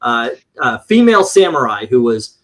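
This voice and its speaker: A man's voice: medium pitch, sing-song, moderate pace. A man reviewing comic books in casual, opinionated panel chat, rambling and swearing, acting out his exasperated reactions.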